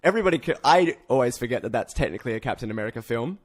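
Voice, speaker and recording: A man's voice: mid-range voice, lively intonation, rapid-fire, husky. Casual male podcast host, informal and slangy. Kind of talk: casual pop culture chat.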